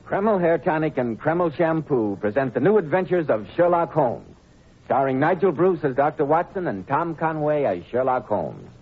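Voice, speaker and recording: A man announcing and chatting on a 1940s radio show, crisp and formal. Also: fairly high pitch, big pitch swings, moderate pace. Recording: tinny 1940s radio broadcast with music.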